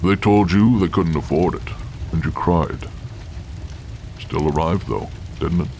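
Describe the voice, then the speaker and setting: A man's voice: deep voice, creaky, a little mellow, slight background noise. An actor playing a despairing, drunken, centuries-weary Santa gunman who taunts knowingly in an audio drama. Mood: knowing, menacing. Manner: slow, brooding, menacing.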